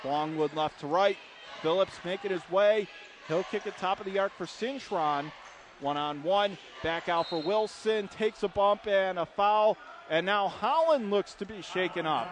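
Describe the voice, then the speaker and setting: An American man calling live basketball play-by-play for radio in punchy, urgent phrases from courtside. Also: sits high. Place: courtside in an indoor arena. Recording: loud gym noise behind. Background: arena ambience, bouncing basketballs.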